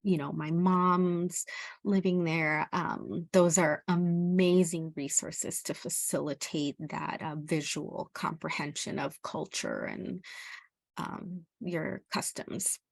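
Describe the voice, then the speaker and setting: Slow-paced woman presenter, fairly low voice. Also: steady tone, creaky, no echo. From El Salvador. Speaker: parent presenter. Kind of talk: informal educational presentation with personal experience.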